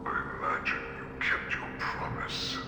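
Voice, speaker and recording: An actor playing a grand, scornful monster warlord in a video game, coaxing and theatrical with a breathy rasp. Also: a little slow, natural voice. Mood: grim, scornful. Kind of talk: dramatic game voice acting. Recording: heavy echo under loud music. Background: loud dramatic music.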